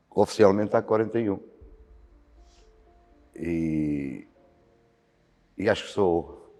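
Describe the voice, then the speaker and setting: An older man speaks with a European Portuguese accent in an extremely deep, mellow voice. He answers slowly and thoughtfully, pausing often to find his words. The recording carries a long hall reverb with faint music underneath. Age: 60s. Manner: thoughtful, with frequent hesitations. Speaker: volunteer fire commander. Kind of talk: reflective interview answers.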